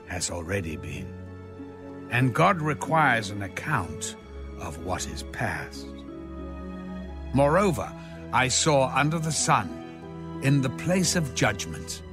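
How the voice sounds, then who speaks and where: A man giving a solemn, measured and dramatic narration of scripture. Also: fairly low pitch. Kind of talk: solemn audio Bible narration. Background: background music.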